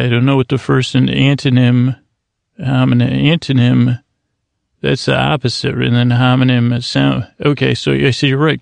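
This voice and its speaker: A man's voice: mid-range voice, vocal fry, no echo. A man hosting a sleep podcast, rambling and wandering off topic, often stopping and restarting, close to the mic. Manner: meandering, self-interrupting, over-explaining. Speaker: podcast host. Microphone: close studio mic.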